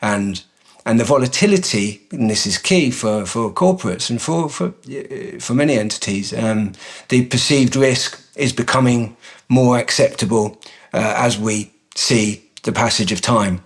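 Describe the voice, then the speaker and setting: A man, a company executive director, answering interview questions in a measured way with frequent ums and restarts. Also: medium pitch.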